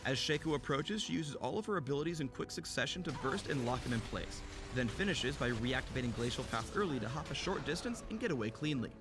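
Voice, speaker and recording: A man's voice: mid-range voice, expressive, quick pace, few pauses, over music. A man reading scripted narration for a video game character guide. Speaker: game champion spotlight narrator.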